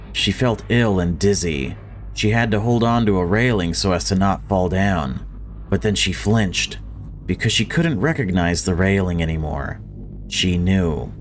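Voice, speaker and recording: A man reading visual novel story text aloud and adding casual commentary as a gaming video creator. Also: sits low.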